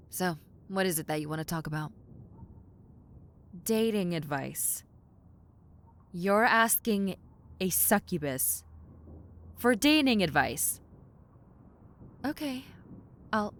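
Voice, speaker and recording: A woman's voice: mid-range voice, big pitch swings, slow pace, pauses often, slight background noise. A woman voicing a brash tomboy succubus in a roleplay audio, sarcastic, incredulous and casually blunt. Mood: wry, resigned. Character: brash tomboy succubus demon, blunt, sardonic, reluctantly helpful. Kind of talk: roleplay audio acting, conversational.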